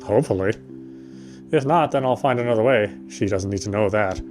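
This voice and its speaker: A man's voice: fairly low pitch, brisk, a little mellow, faint music behind. A man gaming and reading a visual novel's narration aloud, with casual asides. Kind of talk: let's play read-aloud with casual asides.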